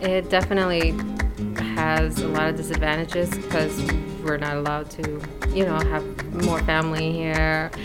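A woman from Chicago answers an interviewer casually. Her voice is fairly low, and guitar music plays loudly behind her. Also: level tone, normal speed. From Chicago, United States. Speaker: bride being interviewed. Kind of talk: casual chat. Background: loud guitar music.

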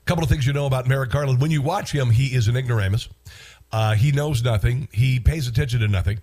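A man's voice: mid-range voice, quick pace, darkish tone. Congested American man hosting talk radio. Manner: wry, repeats phrases for emphasis. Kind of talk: casual opinionated monologue.

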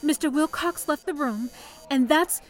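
A woman's voice: high voice, a little slow. A woman playing a meek, shaken young trainee telephone operator, hesitant and earnest, in an old-time radio play.

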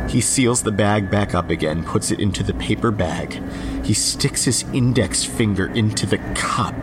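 An actor playing an uneasy young office tech who narrates a horror story in the first person, with dramatic pauses and a sardonic edge. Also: male voice, on the low side, noisy background. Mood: grim, appalled. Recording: loud music behind the voice.

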